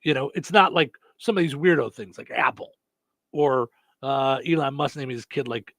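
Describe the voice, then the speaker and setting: A man's voice: medium pitch, moderate pace, fairly bright tone, dry recording. A man hosting a radio show in casual chat, a comedian telling rambling stories full of fillers and restarts. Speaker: stand-up comedian.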